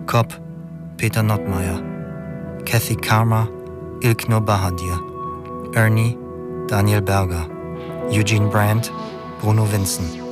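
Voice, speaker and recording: A man's voice: lowish voice, steady tone, takes it slowly, pauses often. A man reading the scripted closing credits of a radio play as announcer. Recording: radio production under loud music.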